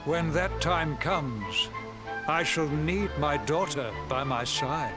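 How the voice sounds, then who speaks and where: A man's voice: a little high, expressive, takes it slowly. An actor playing a dignified, kindly middle-aged king in a fantasy video game, formal and fatherly. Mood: stately, warm. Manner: stately, formal. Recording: loud music under the voice.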